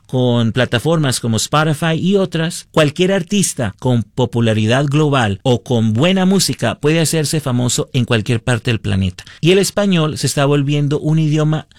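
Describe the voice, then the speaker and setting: A man from the United States hosting a radio show, giving measured, earnest commentary addressed to listeners. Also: fairly high pitch, animated, no room sound, clean studio sound. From United States, born to Colombian parents. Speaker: radio host. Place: radio studio.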